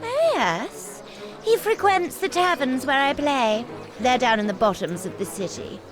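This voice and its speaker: High-voiced, spirited tavern musician. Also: female voice, big pitch swings, unhurried, music in the background. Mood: eager, gossipy. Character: spirited female tavern musician sharing gossip. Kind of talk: animated cartoon game voice acting.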